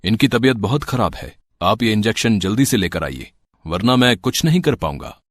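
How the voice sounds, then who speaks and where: A man voicing a grave, composed doctor in a moral story, speaking in a measured way. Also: fairly low pitch, lively intonation, no echo, clean studio sound. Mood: urgent. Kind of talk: acted dialogue in a Hindi moral story.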